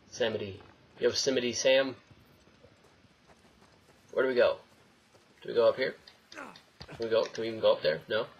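A young man hosts a let's play in a casual, joking way, rambling to himself and to the game. His pitch is ordinary, and the recording is dull and lo-fi with faint noise behind him. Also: a bit bright. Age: young adult. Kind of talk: casual gameplay commentary.

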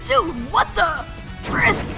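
A woman voicing a panicked, whiny young companion, loud and exasperated. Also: very high voice, big pitch swings, takes it slowly, exaggerated voice. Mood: panicked exasperation. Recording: loud music underneath.

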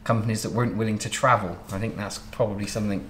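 A man in his thirties from Southwest England hosting a relaxed interview, chatting and explaining at length. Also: lowish voice, very fast, reverberant, slight background noise. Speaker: interview host, wedding photographer. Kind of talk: casual interview. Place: home studio office, indoors.

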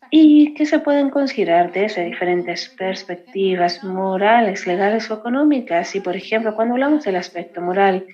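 An older woman delivering a prepared conference keynote in a measured, deliberate, formal way over a video call. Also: medium pitch, a little mellow, lo-fi sound. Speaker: keynote speaker. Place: indoors, plain wall behind.

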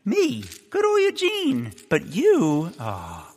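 An actor playing a neurotic, eccentric, earnest scientist in a comic audio drama. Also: male voice, extremely high pitch, huge pitch swings, slow pace, gravelly, a little mellow, breathy edge, in a large hall, full character voice. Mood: awkward, tender.

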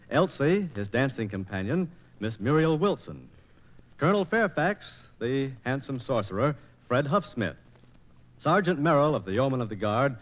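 A man announcing a scripted 1940s radio programme in a grandly formal, theatrical manner. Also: mid-range voice. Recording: muffled 1940s radio transcription disc.

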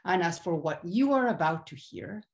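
A proud, regal allegory of Wisdom , acted by a woman, declaiming a stage monologue. The delivery is grandiose and highly animated, in a lowish female voice. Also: moderate pace. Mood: proud, declamatory. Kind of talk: theatrical monologue from a translated Renaissance comedy.

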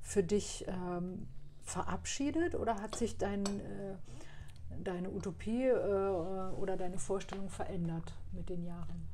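A woman's voice: mid-range voice, a little slow, warm tone, slight background noise. Hesitant woman interviewer from Berlin. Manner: hesitant, with frequent fillers. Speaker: interviewer, host. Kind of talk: conversational interview. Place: cafe-like room indoors. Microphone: lapel mic.

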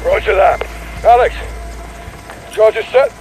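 Slow, commanding military captain. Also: male voice, high voice, background noise. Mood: steady, commanding. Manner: clipped radio commands. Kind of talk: cinematic game dialogue.

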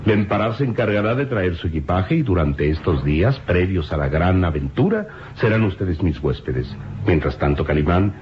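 An actor playing a hearty, courteous English colonel and scientist in an old radio serial, effusive in manner. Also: male voice, sits low, moderate pace, few pauses, natural voice. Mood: intriguing, gracious. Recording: dull noisy vintage radio recording.

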